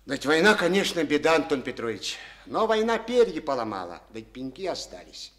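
A man's voice: on the high side, sing-song, fairly quick, fairly bright tone. An actor playing a resentful, stubborn collective-farm chairman in a studio radio play, speaking in a folksy way full of proverbs. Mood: bitter.

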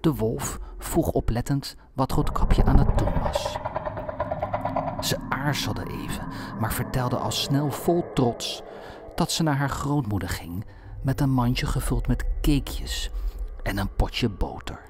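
A man narrating a fairy tale from a script in a measured storybook manner. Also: mid-range voice, noisy background.

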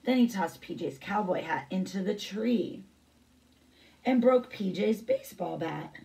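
A woman's voice: medium pitch, lively intonation, unhurried, vocal fry, dark tone, far from the mic, low-quality recording. A middle-aged woman reading a picture book aloud as a teacher, with brief asides.

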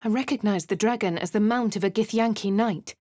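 A woman with a posh English accent voicing a wry young female adventurer in a video game, crisp and clipped. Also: mid-range voice, brisk, a little mellow, no echo, natural voice. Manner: crisp, clipped, dryly sardonic. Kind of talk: video game character voice lines. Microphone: close studio mic. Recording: dry studio recording.

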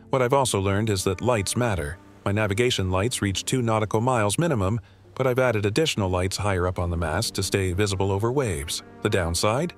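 Male voice-over narrator, lowish voice, calm and measured, soft music underneath. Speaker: solo sailor narrator.

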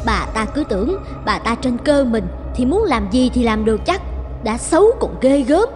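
An animated, sharp-tongued wife. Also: female voice, a little high, normal speed. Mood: indignant. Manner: catty, expressive. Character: sharp-tongued, gossipy middle-aged wife. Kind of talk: dubbed cartoon folk-tale acting. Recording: music behind the voice.

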